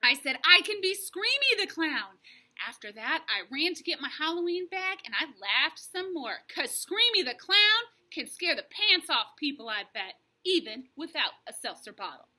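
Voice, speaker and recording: A woman voicing an excitable, mischievous little girl who narrates a children's storybook theatrically, in childlike grammar. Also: sits high, normal speed, hardly any pauses, full character voice. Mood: excited, proud. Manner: theatrical, childlike grammar, dramatic shrieks and hushes. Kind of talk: animated children's storybook reading.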